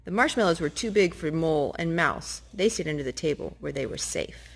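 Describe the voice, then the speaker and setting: A woman's voice: sits low, fairly quick, vocal fry, husky. Woman reading a picture book aloud. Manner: gentle, animated read-aloud voice, talking to the children between pages. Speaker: storytime reader.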